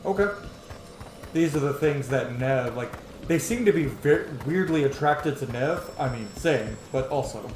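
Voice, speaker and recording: A man's voice: mid-range voice, animated, measured pace, roomy sound, noisy background. A man giving casual, informal let's-play commentary, talking to the game as he plays and swearing freely. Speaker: video game streamer, player. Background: game music.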